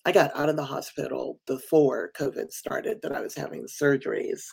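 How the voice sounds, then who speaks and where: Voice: mid-range voice, normal speed, vocal fry, darkish tone, breathy edge. A podcast host with a sniffly, runny nose, chatting casually and empathetically with a guest in an interview. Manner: empathetic, shares own burn survivor story.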